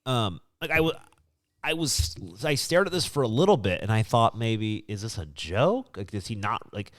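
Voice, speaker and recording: A man's voice: on the low side, lively intonation, moderate pace, a bit bright, dry recording, studio quality. A man hosting a casual podcast, chatting with effusive enthusiasm. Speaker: podcast host.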